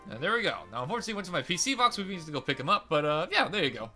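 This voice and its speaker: A young man commentating a video game playthrough in a chatty, rambling way, his voice slightly raspy and crackly today. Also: fairly high pitch, big pitch swings, rapid-fire, pushed and bright, soft background music. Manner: rambling, chatty, self-correcting. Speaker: let's play host.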